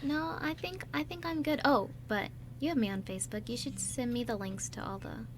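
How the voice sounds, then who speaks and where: A woman's voice: fairly high pitch, fairly quick. A young woman chatting casually and playfully as a panelist on a radio show.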